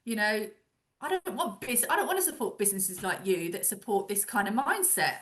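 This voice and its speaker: A female talk show guest with a bright, forceful voice at a middling pitch. She speaks conversationally, with a blunt and insistent manner as she gives her opinions. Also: sing-song, fairly quick.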